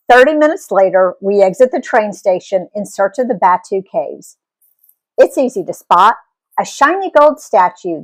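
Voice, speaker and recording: A woman reading a story aloud as an expressive, animated narrator. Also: mid-range voice, poor audio. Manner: animated storytelling with acted dialogue.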